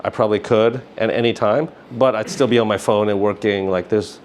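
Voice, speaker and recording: Middle-aged man, lowish pitch, casual interview guest, rambling phrasing, slight background noise. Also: fairly even intonation, fairly quick, darkish tone. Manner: rambling, often says "you know" and "like". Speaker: interview guest, business founder.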